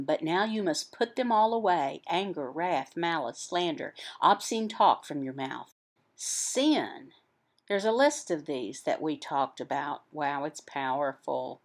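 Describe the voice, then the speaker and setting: A woman delivers an explanatory sermon at a very slow pace. Her voice sits a little low for a woman. She speaks in short, halting phrases with pauses between them, as an interpreter voicing someone else's teaching does.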